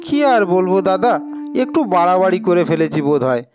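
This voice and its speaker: A man's voice: high voice, lively intonation, moderate pace, voice pushed up. A man voicing a hapless, spendthrift younger brother in a cartoon folk tale, pleading in a whining, wheedling way.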